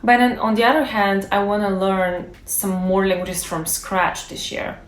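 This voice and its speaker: A woman's voice: mid-range voice, measured pace, slight background noise. A young woman who is a native Bulgarian speaker, talking casually to camera as a language-learning YouTuber and thinking aloud with fillers and restarts. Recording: echoey room.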